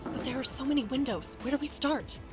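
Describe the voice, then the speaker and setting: A woman's voice: sits high, a little fast, over music, natural voice. A woman playing a wary, curious young monster hunter in a video game. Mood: alarmed.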